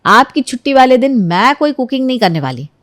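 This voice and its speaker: A woman's voice: high-pitched, big pitch swings, brisk, fairly bright tone, no echo, studio quality, voice pushed up. A woman voicing a frank, friendly young daughter-in-law in a dramatized story. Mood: assertive. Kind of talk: dramatized Hindi moral story acting.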